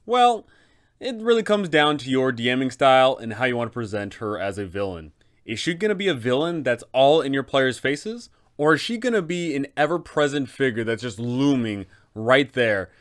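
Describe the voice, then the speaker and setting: A man with a clean, smooth voice at a middle pitch presents advice for tabletop game masters. His delivery is scripted and emphatic, built on deliberate phrases and rhetorical questions. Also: a bit bright. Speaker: host, game master advisor. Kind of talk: scripted explainer on running a tabletop campaign.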